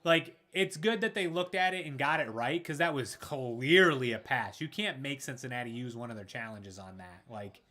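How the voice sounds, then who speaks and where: A man doing casual live play-by-play of a football game, excitable, his voice raspy from screaming. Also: mid-range voice, normal speed, few pauses. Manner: excitable, shouts at big plays. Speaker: gaming streamer doing play-by-play. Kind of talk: casual live sports commentary.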